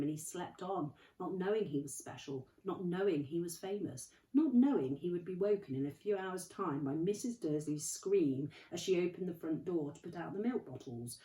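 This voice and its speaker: Woman teacher, expressive read-aloud, warm lowish tone; distant, echoey recording. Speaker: primary school teacher. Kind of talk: reading a storybook aloud. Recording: roomy, from off the mic.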